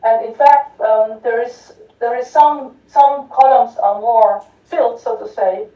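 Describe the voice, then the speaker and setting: A woman's voice: on the high side, unhurried. Woman giving an academic talk in an echoey hall. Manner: many fillers and restarts, addresses audience with "right?". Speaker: conference speaker. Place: lecture hall. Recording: distant echoey lo-fi hall recording.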